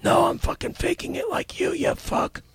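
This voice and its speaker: A man's voice: very high voice, steady tone, moderate pace, slight background noise. A man doing improvised comedy, crude and combative, stammering and swearing. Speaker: comedy podcast regular.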